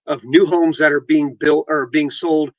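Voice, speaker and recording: A man co-hosting a casual real estate talk show, reading headlines aloud and joking, with halting restarts. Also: fairly even intonation, no room sound, dull audio. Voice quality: deep voice. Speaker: podcast host and realtor.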